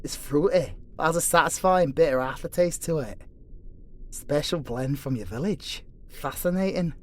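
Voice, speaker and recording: A man's voice: fairly high pitch, normal speed, close to an everyday voice. A man playing a dutiful, prejudiced angel dungeon guard with a formal, sanctimonious manner, in a fantasy audio roleplay. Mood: polite, pleased.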